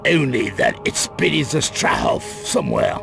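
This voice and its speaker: Middle-aged crime boss, gravelly, grave and deliberate, video game drama, loud music behind. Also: male voice, medium pitch, lively intonation. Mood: grave, guarded. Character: cornered middle-aged male crime boss, grim and guarded. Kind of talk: dramatic video game cut-scene acting.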